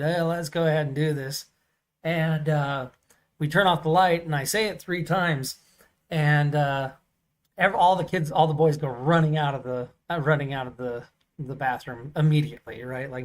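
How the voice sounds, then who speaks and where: A man with a loud, carrying voice, co-hosting and telling stories in a rambling casual chat with many fillers, over a video call. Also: a little high. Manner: loud voice that carries. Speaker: podcast co-host.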